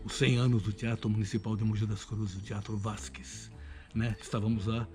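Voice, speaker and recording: Older Brazilian man, lowish voice. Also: level tone, moderate pace, over loud music. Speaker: veteran voice actor. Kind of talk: interview talk. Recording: faint ambient noise.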